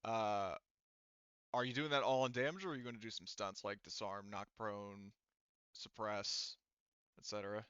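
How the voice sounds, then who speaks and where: A man's voice: on the low side, fairly even intonation, moderate pace, long pauses, a bit bright, clear, resonant tone, no room sound. A man acting as game master, narrating a tabletop game in a casual, rambling, informal way. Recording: dry, slightly muffled voice mic.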